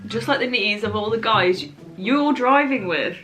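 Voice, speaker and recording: A woman's voice: on the high side, lively intonation, measured pace, a bit bright, faint music behind. A playful woman reading short stories aloud in a jokey dramatic style, giggling and repeating lines. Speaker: vlogger.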